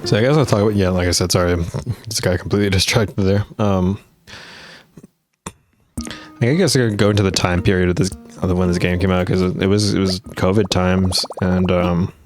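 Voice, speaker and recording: A young man hosting a gaming video, talking casually and rambling with many fillers and restarts, his voice worn and rough at points. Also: low voice, steady tone, fairly quick. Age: young adult. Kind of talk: casual gameplay commentary. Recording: game music playing under the voice.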